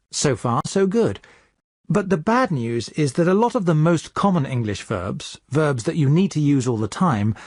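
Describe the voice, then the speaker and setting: A man giving a scripted English lesson as a teacher, explaining clearly step by step. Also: fairly high pitch, darkish tone, no echo, clean studio sound.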